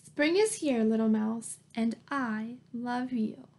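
A woman voicing a gentle, affectionate mother mouse in a picture book, eagerly showing things to her child. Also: on the high side, slow. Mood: loving.

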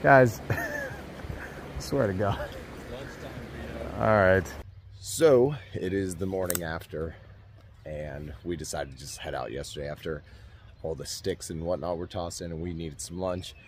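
A young man in his twenties giving upbeat, casual commentary to his viewers while fishing, often talking to the fish and chuckling. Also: deep voice. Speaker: fishing vlogger. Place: outdoors by a rushing stream. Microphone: action camera, chest or handheld. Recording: outdoor camera mic with loud stream noise. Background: rushing water.

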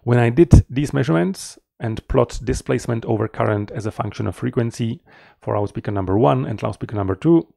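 A middle-aged man giving an explanatory technical presentation as a researcher, in a measured, slightly halting manner, close to the mic. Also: medium pitch, gravelly, warm tone, dry recording. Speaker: researcher presenting a conference paper.

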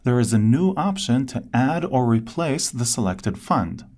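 A man narrating a scripted step-by-step software tutorial in a clear instructional manner. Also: mid-range voice, big pitch swings, unhurried.

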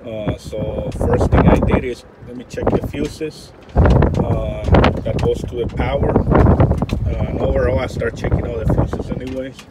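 A man's voice: mid-range voice, fairly even intonation, a little slow. A man, an HVAC technician, talking through a repair outdoors at a rooftop unit, thinking aloud with fillers and restarts, recorded close on a handheld camera. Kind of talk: hands-on troubleshooting walkthrough. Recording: loud outdoor wind and traffic noise.

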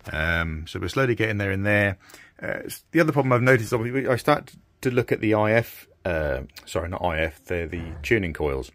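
Low-voiced man, thinking aloud. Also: lively intonation, brisk, fairly bright tone, no room sound. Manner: informal, hesitant, thinking aloud. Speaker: radio restorer presenting a repair video. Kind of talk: casual workshop commentary. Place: small indoor workshop at a bench.

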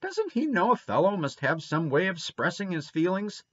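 A man's voice: high voice, sing-song, moderate pace, fairly bright tone, no room sound, voice pushed up, heavily acted. A man reading aloud and voicing a defiant, rebellious young boy who talks indignantly and boastfully, on a dull lo-fi recording.

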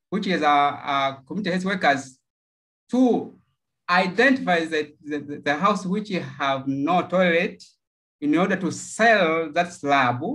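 A man from Tanzania, giving a prepared project pitch over a video call. He speaks haltingly, with many fillers and repeated words. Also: fairly bright tone, rough recording. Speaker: project pitcher from a local NGO.

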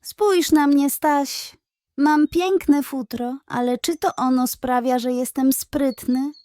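Kobieta grająca figlarnego, mądrego lisa. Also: male voice, very high-pitched, steady tone, slow, darkish tone, no echo, voice pushed up. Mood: reassuring. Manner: teasing yet reassuring. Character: friendly, playful, wise young male fox.

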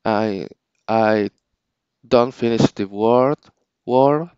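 A slow-talking man with a Spanish accent gropes for English words, restarting phrases again and again. His voice is low, smooth and clear, recorded very dry on a slightly dull, lo-fi home mic. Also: dark tone, no echo. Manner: halting, searching for English words, frequent restarts. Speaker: channel owner. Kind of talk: casual unscripted vlog talk.

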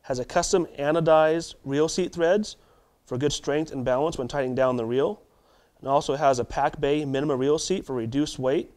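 A man in his thirties hosting a tackle shop video, running through product specs in a steady delivery with small restarts, in a warehouse storeroom. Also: a little high, moderate pace. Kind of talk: product rundown to camera. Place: warehouse storeroom with shelves of boxes.

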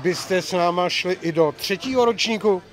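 A man's voice: high-pitched, faint music behind. An older man hosting a bowling tournament, chatting and teasing as he reports and interviews players with a handheld mic in a large bowling alley. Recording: faint hall noise.

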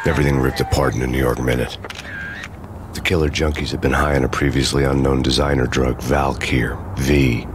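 An actor playing a world-weary undercover detective, deadpan and brooding, narrating a grim hard-boiled noir game story. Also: male voice, rumbling low, brisk, background noise.

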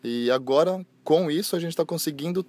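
A man's voice: on the high side, brisk, darkish tone. A man in his thirties with a Brazilian accent, casually recounting his experience in an outdoor interview. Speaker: seminar speaker being interviewed. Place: outdoors on a lawn. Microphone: phone held by interviewer.

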